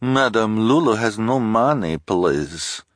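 A man's voice: lowish voice, lively intonation, slow pace, no room sound, studio quality, voice pushed up. A man voicing a wheedling, theatrical female fortune-teller who speaks broken English with a strange foreign accent in an audiobook. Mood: complaining, pleading. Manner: broken English, keeps adding "please".

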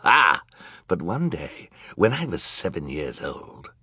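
A menacing, gleeful villain count from a storybook, voiced by a male narrator. He schemes and gloats theatrically in a low voice with big swings of pitch, recorded close and dry. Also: very animated, takes it slowly, sharp tone, breathy edge, no echo, full character voice. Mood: boastful. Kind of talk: audiobook character acting.

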